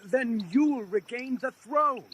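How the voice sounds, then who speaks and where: An actor playing a loyal, deferential rebel aide in a sci-fi audio drama, earnest and respectful. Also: male voice, extremely high pitch, expressive, slow pace, fairly bright tone, a little hiss or hum, exaggerated voice.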